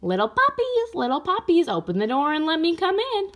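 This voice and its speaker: A woman's voice: very high voice, huge pitch swings, fairly quick, a bit bright, voice pushed up, exaggerated voice. A woman voicing a big bad female flea from a children's storybook, calling out in a sing-song, taunting way. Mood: taunting, demanding. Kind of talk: children's storybook read-aloud.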